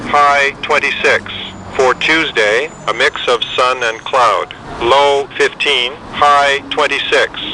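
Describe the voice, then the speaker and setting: A man reading a scripted weather radio forecast in a measured, impersonal, segmented way. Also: medium pitch, sharp tone. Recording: noisy weather radio broadcast capture.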